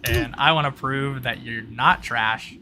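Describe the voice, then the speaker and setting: A man's voice: medium pitch, measured pace, sharp tone, a little hiss or hum. A man narrating a tabletop roleplay as game master, in a casual, informal storytelling way.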